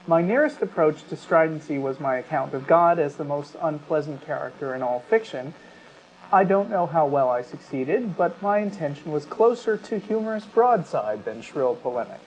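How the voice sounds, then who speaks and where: A man's voice: fairly high pitch, lively intonation, normal speed. A man co-hosting a talk show, mixing conversational commentary with passages read aloud. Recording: dull lo-fi audio with clear background noise.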